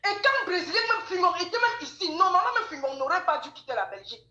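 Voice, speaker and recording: A high-pitched woman chats casually in an echoey room. She tells gossip in an animated way, circling back over phrases to drive them home. Her voice is bright and forceful. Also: fast talker, dull audio. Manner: animated storytelling, repeats phrases for emphasis.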